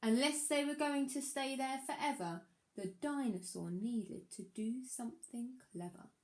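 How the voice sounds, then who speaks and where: A woman reading a children's picture book aloud like a primary teacher, expressive, with dramatic emphasis on the rhymes. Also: a little high, a little slow.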